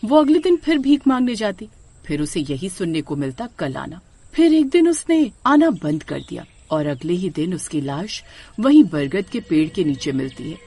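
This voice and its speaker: A woman's voice: on the low side, big pitch swings, normal speed, warm tone, slightly rough. A woman narrating a scripted horror story as a storyteller.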